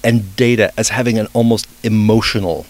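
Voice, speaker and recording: A man hosting a book interview, asking prepared questions in a measured way. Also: on the low side, steady tone. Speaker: interviewer host.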